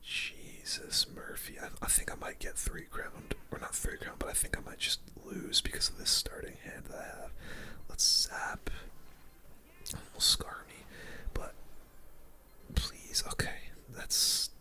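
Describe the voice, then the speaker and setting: Whispering ASMR gamer, bright and breathy. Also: unhurried. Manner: casual, slangy. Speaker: gaming video host. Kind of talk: whispered ASMR game commentary.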